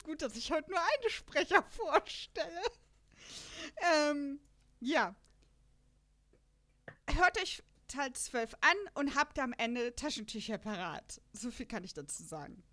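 Chatty higher-voiced woman. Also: lively intonation, slow pace, pushed and bright. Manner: chatty, rambling, laughs often. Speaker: podcast co-host. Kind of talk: casual chat.

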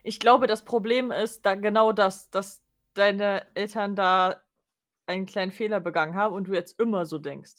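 A woman's voice: mid-range voice, fairly even intonation, moderate pace. A woman in casual podcast chat as a co-host, matter-of-fact and dryly teasing.

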